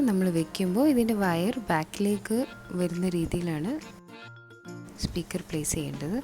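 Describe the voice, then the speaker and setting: A woman's voice: fairly low pitch, dark tone. A woman with a Malayali accent gives a hands-on, step-by-step installation demonstration in a conversational way, mixing in English terms. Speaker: presenter of a product installation tutorial. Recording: music playing behind the voice. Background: clearly audible background music.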